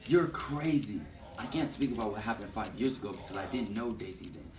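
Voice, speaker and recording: A man playing a blustering, jealous, wealthy husband in an amateur puppet show, indignant and loud in his protests. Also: fairly low pitch, animated, a little fast, background noise, lightly acted. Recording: roomy, from far off.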